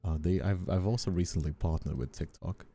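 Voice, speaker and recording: A soft-spoken man chatting casually on a livestream as the host, talking in a hesitant, rambling, reassuring way close to the mic. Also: sits low, a little fast. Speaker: streamer and ASMR voice actor hosting a live stream. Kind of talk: casual livestream chat with ASMR calm. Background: soft instrumental music.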